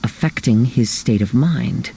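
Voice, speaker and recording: An actor playing a calm, thoughtful young swordsman in a video game, speaking in a measured, formal, analytical way. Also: mid-range voice, gravelly. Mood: calm deduction.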